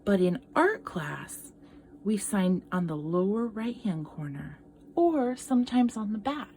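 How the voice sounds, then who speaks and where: Female art teacher, slow animated children's read-aloud, engages young listeners, some background noise. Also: medium pitch. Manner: expressive, addresses young listeners with questions. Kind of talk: children's picture book read-aloud with asides.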